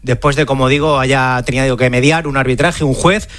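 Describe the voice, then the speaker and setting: A man's voice: mid-range voice, steady tone, normal speed, fairly bright tone. A man with a Spain Spanish accent explaining sports news in a live radio report.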